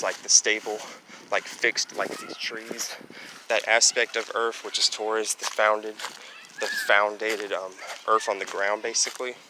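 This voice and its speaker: A young man with a low, booming voice rambles on, leaning heavily on fillers as he walks. He's recorded on a handheld phone outdoors, and clear street and traffic noise runs underneath him. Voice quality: loud, booming voice. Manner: rambling, heavy on fillers like "you know" and "basically". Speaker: astrology vlogger. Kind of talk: casual walking vlog monologue. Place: outdoors, walking near trees and traffic.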